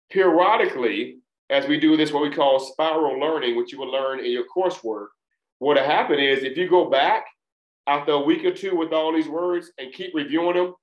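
A man of Trinidadian family teaching a recorded test prep lesson, speaking deliberately and enunciating each syllable. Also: fairly high pitch, dull audio. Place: small room, indoors. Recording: echoey room.

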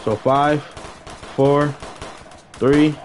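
A young adult man chatting casually as he streams a game, slangy and excitable, often repeating his phrases. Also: a little high, very slow, slight background noise. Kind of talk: casual livestream gaming chat.

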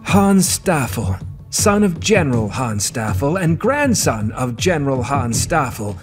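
A male narrator reads a scripted novel in a medium-pitched voice. Music plays clearly underneath his narration. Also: sing-song, unhurried.

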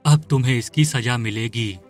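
A man voicing a stern, protective young man in a cartoon, giving deliberate warnings. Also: mid-range voice, soft background music, pitched below the performer's own voice, lightly acted.

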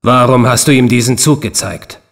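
A man acting a mysterious, grave and commanding middle-aged villain for a video game, with measured, solemn delivery. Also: mid-range voice, long reverb tail, natural voice. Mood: stern, questioning. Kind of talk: dubbed video game voice clips, dramatic acting.

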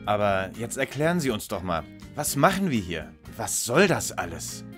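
An actor playing a brisk, skeptical young detective leader in a parody audio play. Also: male voice, lowish voice, big pitch swings, fairly bright tone, soft background music. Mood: dry, skeptical.

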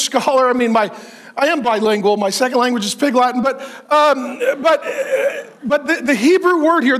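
A man of fifty-one preaching a sermon on a large church stage, animated and joking as he gestures. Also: very high voice. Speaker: pastor.

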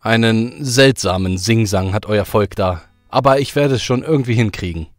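Man acting a deep-voiced, mocking tavern innkeeper. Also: moderate pace, dry recording, studio quality, close to an everyday voice. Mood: confident. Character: gruff, hard-drinking male innkeeper, faintly mocking. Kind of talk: fantasy audio drama acting.

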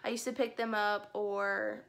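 A woman's voice: medium pitch, little pitch movement, unhurried, vocal fry, fairly bright tone. A woman in her twenties from Alabama chatting casually to camera about herself in a home room. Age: 20s. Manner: chatty, upbeat, enthusiastic. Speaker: book vlogger. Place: indoor room with bookshelves.

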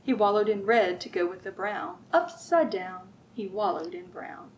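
A middle-aged woman reading aloud at a children's storytime, expressive and sing-song, drawing out rhymes and putting questions to young listeners. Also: mid-range voice, moderate pace, a little hiss or hum, poor audio. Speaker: children's librarian, storytime host. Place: library room with bookshelves, indoors.

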